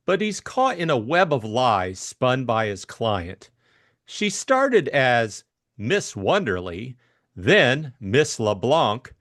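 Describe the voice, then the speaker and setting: A man hosts an audiobook from a script at a slow, deliberate pace. His mid-range voice moves up and down a lot in pitch. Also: a bit bright, no echo, studio quality. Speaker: host and audiobook narrator. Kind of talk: scripted introduction and narration.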